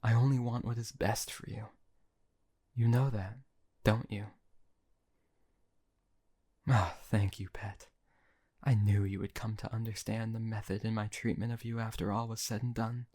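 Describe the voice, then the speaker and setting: A man's voice: fairly low pitch, little pitch movement, measured pace, pauses often, dark tone. A man playing a possessive, cruel yet doting male emperor in an audio roleplay, speaking in a silky, condescending way that often drops to a whisper. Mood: cruelly tender.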